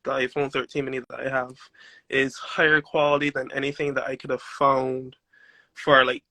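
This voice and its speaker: A man from Barbados with a light Barbadian accent, a steel pan player speaking as a guest in casual podcast chat, thinking out loud and adding asides. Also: medium pitch, flat delivery, moderate pace, no echo. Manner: reflective, talks through ideas with asides. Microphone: phone.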